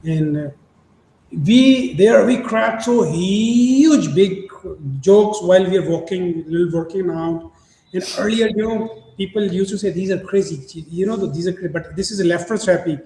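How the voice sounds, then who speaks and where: A middle-aged man hosting an interview, talking in halting starts with many fillers and frequent laughs. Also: high-pitched, warm tone. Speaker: podcast host. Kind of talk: casual interview chat. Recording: echoey room with faint ambient noise.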